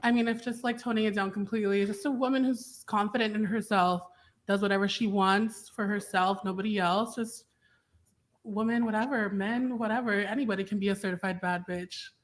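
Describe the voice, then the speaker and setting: A woman's voice: medium pitch, steady tone, a little fast. A woman in her twenties answering interview questions in a breezy, vague way, trailing off into fillers. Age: 20s. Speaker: podcast guest.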